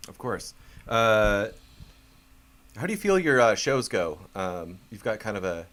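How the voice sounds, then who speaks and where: A man hosting a band interview, speaking in a deadpan, halting manner. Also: on the low side. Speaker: talk show host.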